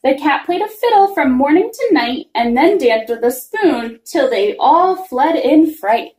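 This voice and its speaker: Female storyteller for children's picture book, very animated singsong read, roomy sound. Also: high-pitched, measured pace. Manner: expressive, singsong rhyming delivery. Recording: roomy, echoing room sound.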